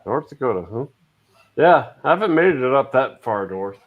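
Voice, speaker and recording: A man in his forties from Alabama, chatting casually on a group video call and pausing often with fillers. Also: medium pitch, phone-quality sound. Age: 40s. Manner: relaxed, hesitant with many fillers.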